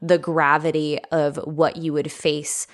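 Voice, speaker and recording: A woman's voice: low voice, little pitch movement, unhurried. A woman hosting a podcast interview in a casual, conversational style. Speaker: podcast host.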